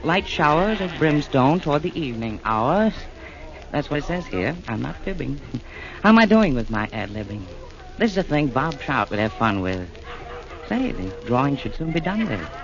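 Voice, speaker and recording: A wisecracking underworld announcer, lively jokey voice, rhyming patter, muffled 1940s radio. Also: male voice, fairly high pitch, moderate pace. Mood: chummy. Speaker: narrator. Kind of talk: 1940s radio comedy narration.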